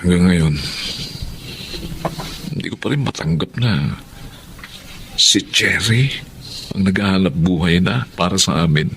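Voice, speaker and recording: An actor playing a proud, brooding middle-aged husband in a Filipino radio drama, with heavy, emotive delivery. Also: male voice, low voice, measured pace, creaky, long pauses, a bit bright, slight background noise, heavily acted. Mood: dejected.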